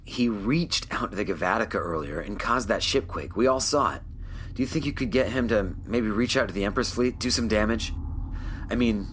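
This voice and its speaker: An actor playing a weary, self-doubting starship captain in an audio drama, with a hesitant, subdued, thoughtful delivery. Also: male voice, fairly low pitch, normal speed, fairly bright tone, slight background noise, natural voice. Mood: urgent, pleading. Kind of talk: audio drama acting, naturalistic dialogue.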